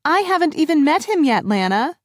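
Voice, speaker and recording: A woman's voice: high-pitched, sing-song, a little fast, no echo, clean studio sound, voice pushed up. A woman voicing a flustered, skeptical woman of thirty, raising her voice with surprised questions. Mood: incredulous. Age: 30s.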